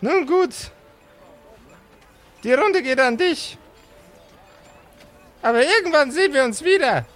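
A man's voice: very high-pitched, animated, slow pace, pauses often, a bit bright, voice pushed up, heavily acted. A man voicing a petulant, vengeful rival magician who cannot bear losing, whiny and melodramatic, in an improvised fantasy audio play. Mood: sulky, vengeful.

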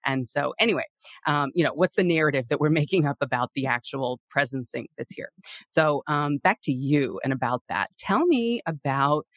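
A man hosting a podcast interview, feeling his way through questions in a musing, roundabout, halting manner. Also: a little high, lively intonation, fairly bright tone, no room sound. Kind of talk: conversational interviewing.

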